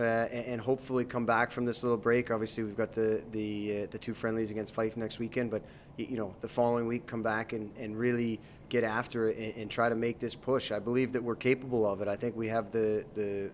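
A man in his thirties, a hockey coach, answering post-game questions in a measured way, with many fillers and restarts, into a handheld mic. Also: medium pitch, level tone, slight background noise. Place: arena interview backdrop, indoors. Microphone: handheld mic held by interviewer.

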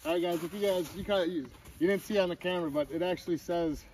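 A man's voice: sits high, fast talker, darkish tone. A man hosting an outdoor vlog, chatting casually to the camera. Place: outdoors at a winter campsite. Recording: noisy outdoor camera audio.

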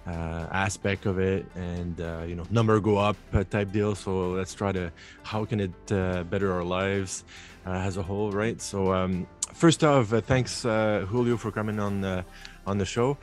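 A man in his thirties hosting a casual interview, speaking in halting, broken-up phrases into a desk microphone. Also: sits low, fairly even intonation, faint music behind. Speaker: show host. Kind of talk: casual interview, channel intro. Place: small home office, indoors, video call. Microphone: desk microphone close in front.

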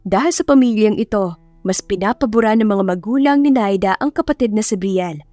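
A woman's voice: medium pitch, a little fast, mellow, no echo. A woman audiobook narrator reading a scripted romantic drama in a dramatic storytelling style. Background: background music.

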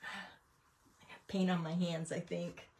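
A woman's voice: fairly low pitch, level tone, takes it slowly, darkish tone. A woman chatting casually as she hosts a craft livestream, rambling through a show-and-tell of her painting work. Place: indoors at a craft table.

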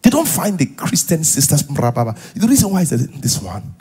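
A middle-aged man with a Nigerian accent and a husky voice, preaching as a pastor to a live congregation in an animated, conversational way, full of interjections and rhetorical questions. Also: on the high side, moderate pace. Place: large church auditorium, on stage. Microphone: headset.

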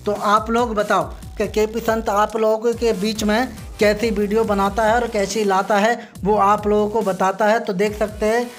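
A man's voice: high voice, little pitch movement, moderate pace. A man giving an informal, repetitive on-site video commentary, explaining things step by step to viewers he addresses as friends. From northern India. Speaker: vlogger. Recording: music behind the voice. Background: background music.

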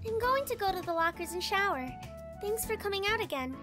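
Very high-pitched, girlish young tennis player. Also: expressive, fairly quick, pushed and bright, heavily acted. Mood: friendly. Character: competitive, sulky young female college tennis player. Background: game music.

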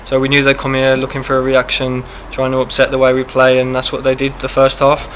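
Young male footballer, post-match chat, quick and even, close handheld mic, faint hiss. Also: medium pitch. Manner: quick, steady answers with many fillers. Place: press backdrop, indoors. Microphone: handheld mic held close.

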